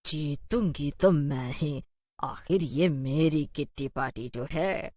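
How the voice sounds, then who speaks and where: Slow, gloating, menacing witch. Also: low voice, darkish tone, dry recording, studio quality, pitched below the performer's own voice. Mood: smug. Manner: drawn-out words, gloating.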